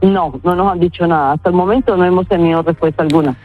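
A woman's voice: lowish voice, steady tone, quick pace, faint music behind. A woman from Colombia, giving a hesitant recorded news testimony as an interviewee. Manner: hesitant, restarting phrases. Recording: tinny phone-like audio.

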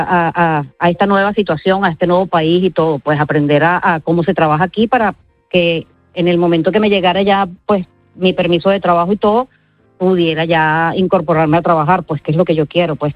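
A Venezuelan woman answers in a halting way with many fillers, her voice at a middle pitch. The audio is dry and a bit muffled, with faint music below. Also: no room sound. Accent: Venezuelan Spanish. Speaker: interviewee. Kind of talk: live news interview. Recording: dry, slightly dull audio.

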